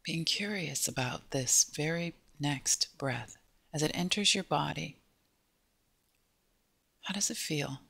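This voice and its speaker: A woman leads a guided body scan meditation at a very slow pace. Her delivery is gentle, with short phrases separated by pauses. The sound is dry and close, with little room to it. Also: low voice, lively intonation, gravelly, slightly rough, dry recording. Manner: gentle, unhurried, pausing between short phrases.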